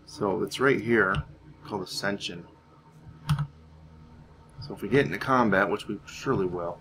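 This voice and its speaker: A man's voice: fairly low pitch, normal speed. A man giving casual gameplay commentary, rambling and thinking aloud as he goes. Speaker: gaming channel host. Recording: faint background noise.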